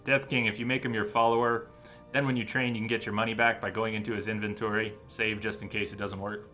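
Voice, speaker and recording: A man's voice: lowish voice, level tone, fast, sharp tone. A man streaming a video game with casual commentary, thinking aloud as he plays. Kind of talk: casual live playthrough commentary. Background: game music.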